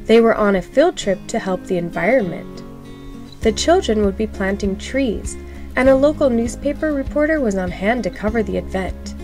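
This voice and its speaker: A woman narrates a children's storybook at an average pitch. Her read-aloud delivery is expressive and clearly phrased for young listeners, with faint music behind her. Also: normal speed.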